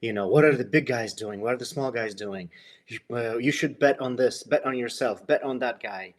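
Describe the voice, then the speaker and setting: A man's voice: mid-range voice, moderate pace. A man hosting a podcast interview, explaining his interest in people in a rambling, thoughtful way full of fillers. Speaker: podcast host. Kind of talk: casual interview intro.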